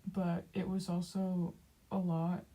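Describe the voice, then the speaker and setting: Soft, slow-talking young woman with a Dutch accent. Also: on the low side, little pitch movement. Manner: soft-spoken, halting, many fillers and small laughs. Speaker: streamer. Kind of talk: casual chat while drawing on stream.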